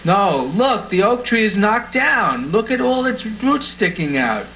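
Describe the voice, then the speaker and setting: A man playing an earnest, excitable schoolboy who explains things eagerly in an amateur puppet show. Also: sits high, unhurried, full character voice. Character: Lance, earnest excitable schoolboy puppet spinning wild tales. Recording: muffled audio with faint background noise.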